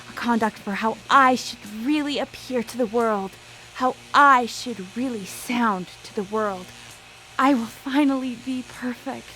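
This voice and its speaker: A woman's voice: fairly high pitch, slight background noise, lightly acted, poor audio. An actress playing an obsessive, ruthless female scientist in a theatrical, formal manner for a live radio drama. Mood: triumphant. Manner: grandiose, theatrical, formal speech.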